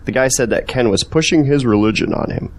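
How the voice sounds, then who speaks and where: A man's voice: mid-range voice, brisk, a little mellow, a little hiss or hum, lightly acted. A young man playing an earnest, faithful friend in an audio drama, sincere and questioning. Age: young adult.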